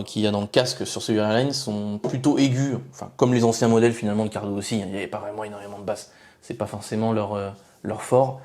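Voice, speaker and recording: A man giving an informal product review, explaining things haltingly and often rephrasing mid-sentence. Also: medium pitch, darkish tone. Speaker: motorcycle gear reviewer.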